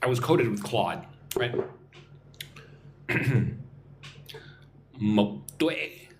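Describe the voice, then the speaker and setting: A man's voice: medium pitch, expressive, drawn-out words, noisy background. Chatty young American man teaching live. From Texas. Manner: chatty, teasing, answers viewers' comments. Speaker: English teacher and livestream host. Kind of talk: live-stream English lesson, reading chat. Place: home room in Vietnam.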